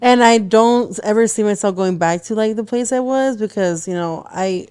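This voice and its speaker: Smooth-voiced woman in her twenties. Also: mid-range voice, moderate pace, darkish tone. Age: 20s. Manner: chatty, filler-heavy with frequent "like". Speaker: podcast co-host. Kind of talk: casual chat. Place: podcast studio.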